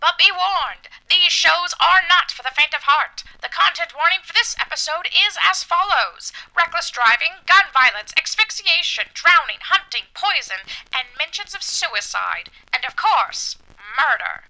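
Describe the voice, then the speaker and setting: A woman's voice: very high voice, animated, unhurried, hardly any pauses, bright, forceful tone, poor audio. A woman hosting a spooky audio drama show, reading a scripted introduction in a theatrical, macabre manner. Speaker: podcast host.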